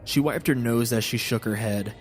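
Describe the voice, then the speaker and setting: A man's voice: medium pitch, normal speed. A man reading a scripted first-person horror story as a solemn, literary narrator. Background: music.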